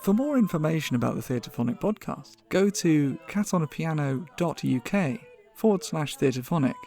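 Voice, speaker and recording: A man's voice: a little high, lively intonation, moderate pace, darkish tone. A man reading a scripted credits announcement as a podcast announcer. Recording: faint music behind the voice.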